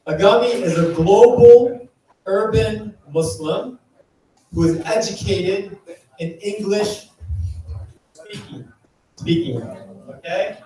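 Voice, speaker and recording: A startup founder speaks to a live crowd, chatty and engaging, drawing the audience in with questions. His voice sits high for a man. The sound is very echoey and he is heard from a distance. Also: warm tone. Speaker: startup founder giving a talk. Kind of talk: conference talk to a live audience. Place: event venue.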